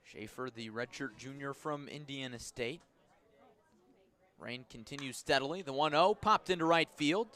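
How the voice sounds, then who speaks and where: Bright-voiced man calling baseball on radio. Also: medium pitch, moderate pace. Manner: descriptive calls in clipped phrases. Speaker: baseball play-by-play announcer. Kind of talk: live radio sports commentary.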